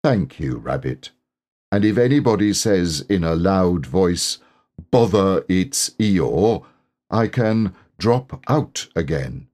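A man voicing a gloomy, self-pitying old male donkey from a storybook, mournful and deliberate. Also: low voice, very animated, a little mellow, full character voice. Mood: glum, resigned.

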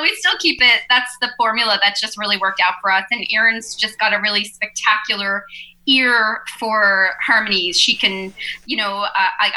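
A woman's voice: mid-range voice, bright, forceful tone, rough recording. A woman, a singer and teacher in a band, chatting in an interview, laughing easily and joking about herself. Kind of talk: casual interview chat.

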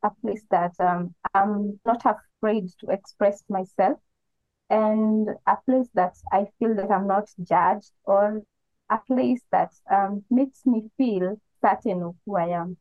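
A woman's voice: medium pitch, steady tone, slow pace, no echo, soft background music. Kenyan woman on a tinny call line. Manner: thoughtful, hesitant, with frequent "um". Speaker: interview guest. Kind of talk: interview answers.

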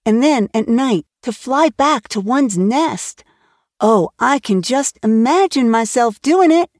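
A woman's voice: fairly high pitch, animated, takes it slowly, a little mellow, dry recording, clean studio sound, pitched above the performer's own voice. A woman voicing an eager, chatty orphan girl who gushes in a breathless, wide-eyed way. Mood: rapturous.

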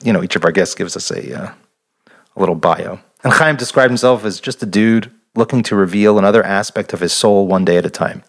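Male podcast host, deep-ish voice, eager and chatty. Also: brisk. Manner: enthusiastic, repeats words and restarts often. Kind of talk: casual interview chat.

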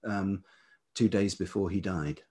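Low-voiced, husky older man, thoughtful. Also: a little mellow. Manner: hesitant, halting, thoughtful. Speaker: podcast guest, writer and musician. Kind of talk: reflective personal storytelling in an interview.

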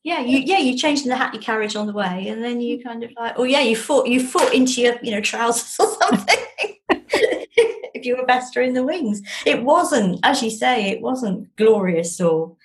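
Animated woman chatting in an interview. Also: fairly high pitch, moderate pace. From London, England. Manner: animated, emphatic, repeating words for effect. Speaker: author guest. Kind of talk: casual conversational interview.

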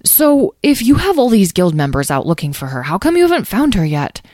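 Woman as an eager, higher-pitched boy. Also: big pitch swings, a little fast, a little mellow, no room sound, clean studio sound. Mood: curious. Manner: keen, questioning. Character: eager, hopeful, curious boy quester.

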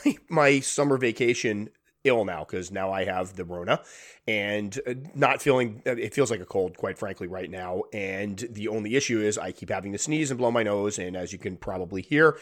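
A man's voice: on the low side, normal speed, a bit bright. A man in his thirties or forties with a stuffy, congested nose, hosting a solo podcast in a chatty, rambling way. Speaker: podcast host. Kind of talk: casual solo podcast talk.

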